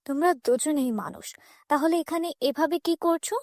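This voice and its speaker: A stern, watchful fairy voiced by a woman with a high voice, questioning and commanding in a storybook cartoon. The sound is dry and close. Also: a little fast, mellow, dry recording. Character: stern, watchful female fairy guarding a magic palace. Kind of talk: children's fairy-tale cartoon dub.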